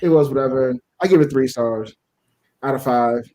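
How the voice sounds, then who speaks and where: A man's voice: mid-range voice, moderate pace, warm tone. A man co-hosting a casual movie review, talking in an offhand, slangy, irreverent way. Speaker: co-host of a movie review show. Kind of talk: casual panel chat.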